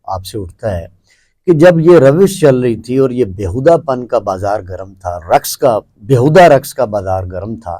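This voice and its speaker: A man's voice: medium pitch, expressive, warm tone, no room sound. A man with a Pakistani accent interviewing a guest, asking long, emphatic questions that repeat points and mix in English words.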